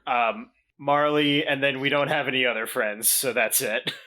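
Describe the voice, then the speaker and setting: A man chatting as a player in a tabletop game, excitable and tripping over his words. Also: mid-range voice, normal speed, crowd noise, low-quality recording. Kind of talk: casual chat among players.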